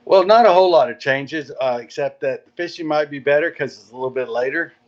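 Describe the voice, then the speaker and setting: A man's voice: fairly high pitch, quick pace. Easygoing man on dull lo-fi audio. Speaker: podcast guest, marina director. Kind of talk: casual interview chat.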